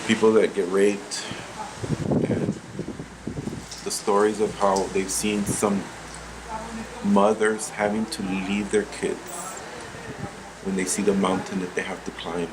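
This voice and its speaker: A man with a very deep voice speaks gravely and soberly. His delivery stays flat and level in pitch. A steady air-conditioner hum runs through the room around him. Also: slow pace, pauses often. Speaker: volunteer. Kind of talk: documentary interview.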